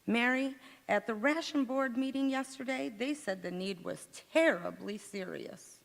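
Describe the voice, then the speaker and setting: A woman performs an earnest housewife in a 1940s radio appeal. Her mid-pitched voice has a creaky edge. The hall gives it long reverb. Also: unhurried. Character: earnest wartime housewife urging women to save. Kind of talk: 1940s radio advertisement acting.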